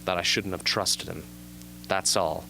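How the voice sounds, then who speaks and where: A man playing a young, haunted but earnest arena survivor with intense, deliberate delivery in an amateur radio drama. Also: low-pitched, fairly even intonation, noisy background. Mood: bitter. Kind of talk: amateur radio drama acting, interview scene.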